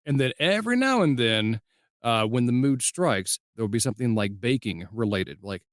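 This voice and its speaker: A man's voice: medium pitch, lively intonation, normal speed, dry recording, studio quality. A man hosting a podcast in a casual, conversational way, playfully acting out the lines he quotes. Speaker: podcast host. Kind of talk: casual interview chat.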